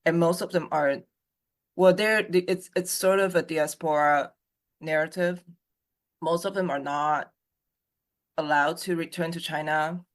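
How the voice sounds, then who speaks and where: A copyright consultant chatting casually, speaking haltingly with many restarts and fillers. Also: medium pitch, fairly even intonation. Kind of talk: casual group conversation.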